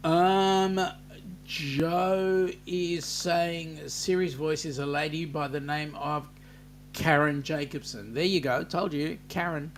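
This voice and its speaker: Casting: Australian man, live-stream host, easygoing and slow, slightly higher voice, with a faint hum behind him. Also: fairly even intonation. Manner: unhurried, chatty, many ums, reads comments aloud. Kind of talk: casual live-stream chat reading viewer comments.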